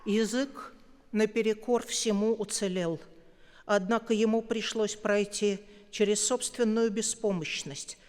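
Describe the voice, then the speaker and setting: A woman with a Russian accent reads a formal acceptance speech, unhurried and weighing every phrase. Her voice comes through smooth and resonant. A long hall reverb trails behind each pause. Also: medium pitch, fairly even intonation, a little mellow. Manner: slow, measured and deliberate, with weighty pauses. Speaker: prize laureate giving an acceptance speech. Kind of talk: formal prepared speech read aloud. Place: large concert hall at a ceremony.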